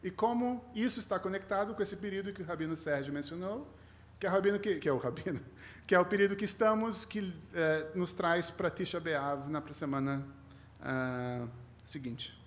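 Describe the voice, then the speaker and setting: A man from Rio de Janeiro with a slightly mixed Brazilian accent, a rabbi giving an informal, humorous sermon in a halting, conversational manner. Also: high voice. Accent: Brazilian with a mixed foreign tinge. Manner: conversational, halting, self-deprecating. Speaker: rabbi, guest preacher.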